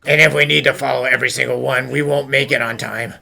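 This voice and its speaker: Guy doing a dry sidekick, creaky and sharp bright voice, sceptical, cartoon game dub. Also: medium pitch, fairly even intonation, fast talker, exaggerated voice. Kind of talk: fan dub game voice acting.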